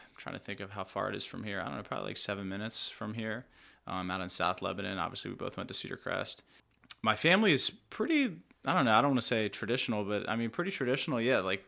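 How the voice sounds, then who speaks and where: A young man from Pennsylvania chats as a podcast guest, his low voice gravelly with fry yet bright and forward. He rambles in a relaxed way, piling up fillers and trailing thoughts. Recorded in a studio. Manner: laid-back, rambling, heavy on fillers like "like" and "dude". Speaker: musician guest. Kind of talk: casual interview chat.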